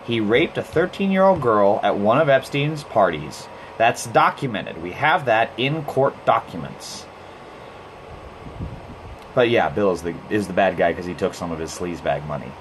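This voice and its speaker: A man's voice: fairly low pitch, expressive, normal speed, a little hiss or hum, poor audio. Blunt, heated man ranting casually. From Costa Rica. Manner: blunt, heated, swears freely, frequent restarts. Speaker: live stream host. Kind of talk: casual monologue.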